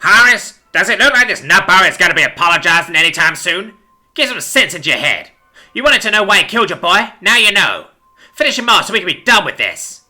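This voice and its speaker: A man's voice: sits high, sing-song, brisk, pushed and bright, faint music behind, pitched above the performer's own voice, exaggerated voice. A man voicing a callous, corrupt pig policeman in a story, with crude, folksy, scolding speech. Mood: exasperated. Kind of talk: dramatic storybook character voice.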